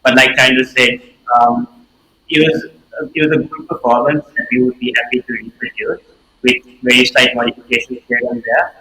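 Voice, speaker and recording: Flat, bright-voiced young debater. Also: male voice, mid-range voice, slight background noise, poor audio. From Sri Lanka. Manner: steady, deliberate. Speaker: student debater. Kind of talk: reflective interview answer.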